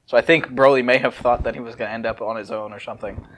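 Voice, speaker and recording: A man's voice: mid-range voice, steady tone, fast talker. A man reading a fan fiction outline aloud as a podcast host, breaking off often to comment and joke.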